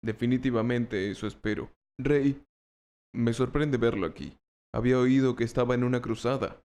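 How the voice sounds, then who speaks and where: A man's voice: mid-range voice, moderate pace, darkish tone, close to an everyday voice. A man playing a naive, earnest knight in an audiobook, questioning and puzzled. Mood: surprised, earnest. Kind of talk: audiobook character acting.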